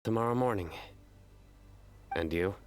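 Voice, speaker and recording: A man voicing a reserved, serious young man in a video game, terse and deadpan. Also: fairly low pitch, measured pace, natural voice.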